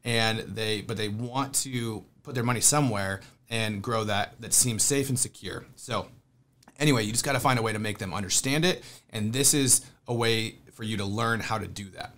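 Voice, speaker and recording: A man's voice: lowish voice, moderate pace, fairly bright tone. A man hosting a casual podcast, stuffed up with a cold, talking in an upbeat, rambling way with frequent restarts. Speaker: podcast host.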